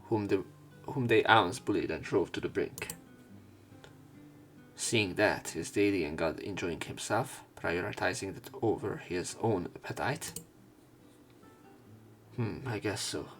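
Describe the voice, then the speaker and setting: Low-voiced man narrating a visual novel. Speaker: let's play narrator. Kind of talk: reading visual novel text aloud with commentary.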